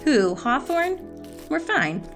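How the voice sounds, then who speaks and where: Woman acting a guarded agent, fairly high voice. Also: big pitch swings, slow pace, a bit bright, soft background music, exaggerated voice. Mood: guarded, dry. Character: guarded, casual female agent and professor. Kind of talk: scripted audio drama acting.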